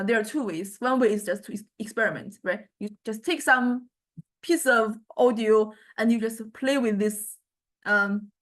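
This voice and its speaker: Higher-voiced woman lecturer with a Chinese accent. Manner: halting, repeats words, often checks with "okay" and "right". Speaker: university lecturer in music technology. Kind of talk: informal software tutorial lecture. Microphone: small condenser microphone.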